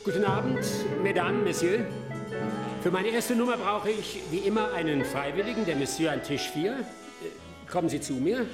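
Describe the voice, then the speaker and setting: An actor playing a commanding cabaret hypnotist in a German radio drama, speaking in a showy, suggestive manner. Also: male voice, high-pitched, normal speed, close to an everyday voice. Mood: theatrical, commanding. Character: commanding, theatrical male cabaret stage hypnotist. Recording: roomy echo. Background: loud music.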